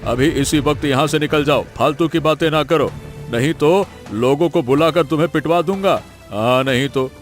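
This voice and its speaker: A man's voice: on the high side, moderate pace, faint music behind, close to an everyday voice. A man voicing a callous, greedy village healer in a Hindi cartoon story, drawling and hemming with a dismissive sneer. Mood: scornful.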